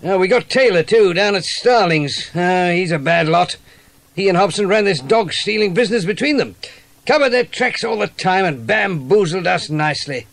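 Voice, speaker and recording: An actor playing a genial, grateful police inspector in a dramatised children's audiobook, chatty and hearty. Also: male voice, sits high, expressive, normal speed, vocal fry, a bit bright, heavily acted. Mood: hearty, brisk.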